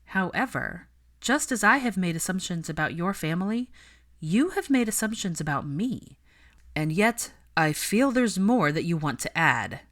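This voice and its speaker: A woman voicing an assertive, articulate adult witch from a story, formal and deliberate in manner. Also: on the low side, expressive, a bit bright. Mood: earnest, firm.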